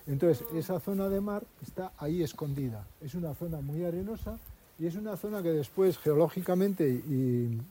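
Male local guide, fairly high voice, warm and relaxed tone, explains in fits and starts. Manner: explanatory, halting, with many fillers and restarts. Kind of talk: explaining history and landscape on a guided visit.

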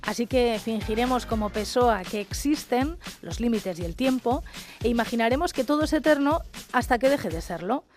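A woman's voice: a little high, expressive, normal speed, a little mellow. A woman presenting a scripted literary radio programme as its host. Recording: music playing behind the voice. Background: background music.

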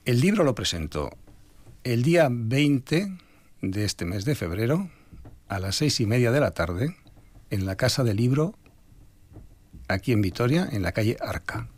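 An older Spanish man, an author being interviewed, talking in a reflective, measured way with some hesitations. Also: mid-range voice, very animated, darkish tone. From Spain. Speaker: author guest. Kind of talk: radio interview.

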